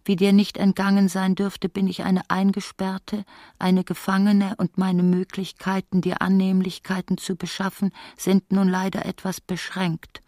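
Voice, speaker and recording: A woman's voice: medium pitch, monotone, measured pace, warm tone, dry recording, clean studio sound, close to an everyday voice. An actress playing a middle-aged woman prisoner in an intimate radio play, tender, theatrical and wryly self-mocking. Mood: exasperated. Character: imprisoned ailing woman of forty-eight, tender, self-mocking, longing.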